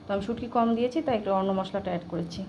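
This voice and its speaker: A woman's voice: mid-range voice, racing, roomy sound. A woman with a Bangladeshi accent narrating a casual home cooking vlog, explaining each step in a chatty, colloquial way. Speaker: home cooking vlogger and mother. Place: home kitchen while cooking. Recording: clear background noise of sizzling and stirring.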